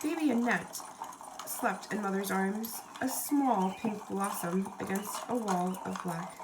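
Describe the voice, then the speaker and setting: A woman reading a children's storybook aloud as narrator, in an expressive scripted style. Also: mid-range voice, slow, noisy background.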